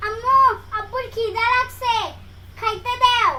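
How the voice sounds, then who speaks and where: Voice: very high-pitched, steady tone, unhurried, sharp tone, faint music behind, heavily acted. A performer voicing a cheeky, lazy, whiny little schoolgirl in a Bangladeshi accent for a children's comedy cartoon. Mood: whiny, excusing. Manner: cheeky, wheedling, argumentative. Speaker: cartoon character voice.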